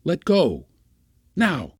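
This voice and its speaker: A man's voice: on the high side, huge pitch swings, extremely slow, breathy edge, dry recording, clean studio sound, pitched above the performer's own voice, heavily acted. A man voicing a pompous, short-tempered Latin schoolmaster in a storybook reading, scolding and giving orders. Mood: exasperated. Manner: scolding, commanding, ranting. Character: irascible Latin schoolmaster uncle, pompous and furious.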